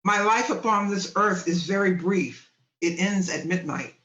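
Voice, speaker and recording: A man's voice: high voice, a little slow, fairly bright tone. A man playing a grave, solemn Christmas ghost in an amateur radio play over a video call. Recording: roomy, dull video call audio.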